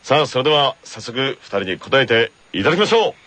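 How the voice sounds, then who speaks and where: A man in his forties hosting a radio show, telling a comic anecdote in polite, drawn-out theatrical phrases. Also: mid-range voice, sing-song, fairly bright tone, big-room sound. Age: 40s.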